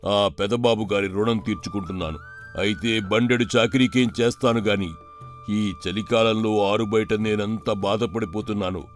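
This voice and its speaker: A man's voice: fairly low pitch, lightly acted. A man playing a weary, repentant langur-monkey ghost in a children's moral story, sighing and plaintive yet grateful.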